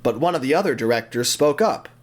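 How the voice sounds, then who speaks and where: A man's voice: mid-range voice, lively intonation, quick pace. A man narrating an audio drama as a scripted story, with theatrical, suspenseful phrasing.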